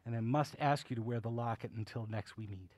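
An actor playing a courtly, whimsical old uncle who runs a curiosity shop in an audio drama, genial and theatrical, with old-fashioned manners. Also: male voice, mid-range voice, fairly quick, a little mellow, no room sound, natural voice. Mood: fond, confiding.

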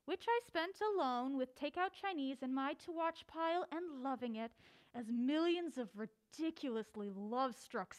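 An actress playing a mild-mannered, witty film buff in an audio drama, with wry, playful banter. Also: female voice, sits high, normal speed, close to an everyday voice. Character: mild-mannered, witty film-buff woman, assistant video store manager.

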